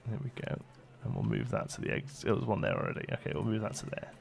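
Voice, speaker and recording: A man's voice: fairly low pitch, sing-song, quick pace, creaky. A man hosting a let's play, chatting casually and thinking aloud as the game goes along. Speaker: gaming video host.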